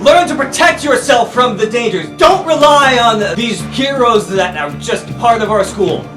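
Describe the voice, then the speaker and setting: A man's voice: very high voice, animated, moderate pace, pitched above the performer's own voice. A man voicing a defiant teenage werewolf pack leader, rallying a crowd in a tabletop game. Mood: fierce, aggressive. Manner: loud, rallying speech to a crowd. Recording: roomy, distant mic with music underneath.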